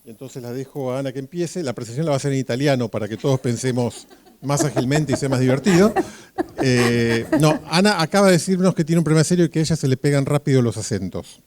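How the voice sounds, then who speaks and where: A man's voice: medium pitch, fast, a little mellow, slight background noise. A man introducing a speaker at a public event, rambling with many fillers and small jokes.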